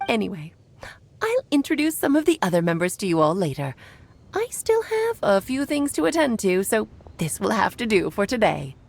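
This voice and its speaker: A woman's voice: medium pitch, sing-song, normal speed, gravelly. A woman playing a playful, worldly elder mage and doting mother in a video game, speaking in an eloquent, theatrical way. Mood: breezy, affectionate. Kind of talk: scripted video game voice acting.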